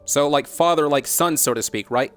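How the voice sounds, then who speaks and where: A man with a slightly high voice hosts an interview over background music. He is earnest and insistent, polite in form but reproachful as he presses long, challenging questions. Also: normal speed, fairly bright tone. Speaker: broadcaster interviewing by phone.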